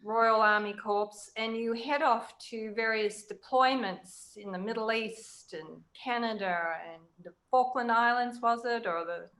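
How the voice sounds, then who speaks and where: A woman with a fairly high voice, hosting a conversational interview. She speaks reflectively, pausing as she picks her words. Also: a bit bright, low-quality recording. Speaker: interviewer, writer.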